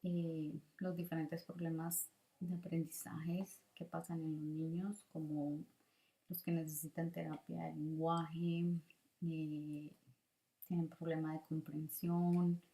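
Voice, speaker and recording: A middle-aged woman gives a student presentation in a hesitant, halting way with pauses. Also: sits low, little pitch movement, dark tone. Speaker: student teacher giving a presentation. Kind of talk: prepared spoken presentation, partly improvised.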